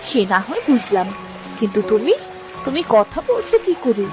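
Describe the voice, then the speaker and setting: A woman's voice: fairly high pitch, sing-song, moderate pace, music in the background. A woman voicing a poor, caring village mother in a cartoon, with a homely, exclamatory way of talking. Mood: astonished. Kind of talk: cartoon voice acting.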